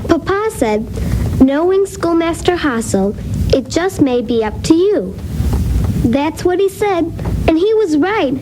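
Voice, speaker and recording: A noisy broadcast recording carries a very high-voiced schoolgirl in a radio play. She is gentle, earnest and eager, a devoted daughter with swooping, lively intonation. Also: a little slow, exaggerated voice. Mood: happy, proud. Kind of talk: radio drama acting.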